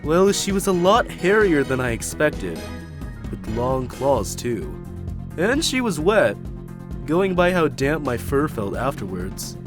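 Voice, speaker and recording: A man's voice: medium pitch, big pitch swings, takes it slowly. A man playing a spacey, dreamy yak. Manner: drawling, dreamy, stoner-like. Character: spacey laid-back male yak witness. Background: background music.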